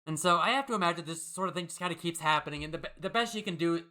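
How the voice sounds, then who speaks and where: Bright, higher-pitched man chatting wryly. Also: very fast. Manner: wry, jokey. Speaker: podcast host. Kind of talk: casual chat and reading listener mail.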